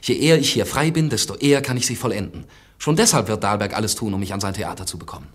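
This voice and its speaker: An actor playing an idealistic, confident young poet and army doctor in a radio drama, speaking earnestly and with spirit. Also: male voice, on the low side, lively intonation, quick pace. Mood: confident, scheming.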